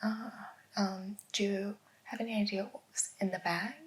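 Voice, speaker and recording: Patient female interrogator, acted by a woman, ASMR roleplay: hushed, gentle, methodical questioning, mid-range voice, faint background noise. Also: medium pitch, fairly even intonation, moderate pace, natural voice. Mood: patient, probing. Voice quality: hushed, near-whispering. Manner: gentle, methodical, echoing back answers. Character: patient, probing, soft-spoken female police interrogator. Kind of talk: soft-spoken ASMR roleplay.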